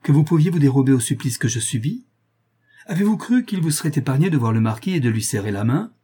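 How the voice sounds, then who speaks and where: Man voicing a sorrowful, resolute noblewoman, husky. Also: mid-range voice, normal speed, dark tone, close to an everyday voice. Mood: bitter reproach. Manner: grave, reproachful, emphatic. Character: remorseful married noblewoman, sorrowful, resolute, renouncing her lover. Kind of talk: dramatised novel reading.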